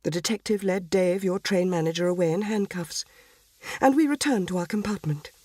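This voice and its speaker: A woman's voice: mid-range voice, darkish tone, breathy edge. A woman reading a short story aloud as dramatised literary narration.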